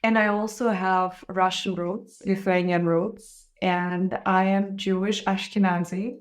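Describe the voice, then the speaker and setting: A young woman from Israel with a foreign-accented English, hosting her podcast and telling her life story in a candid, reflective way with soft laughs. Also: mid-range voice, steady tone, unhurried. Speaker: podcast host. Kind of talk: casual personal monologue to camera.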